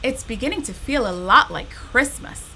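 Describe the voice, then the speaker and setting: Woman playing a high-voiced little sister bear cub. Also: animated, moderate pace, sharp tone, slight background noise, low-quality recording. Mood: shivery, cheerful. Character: young sister bear cub, earnest and apologetic.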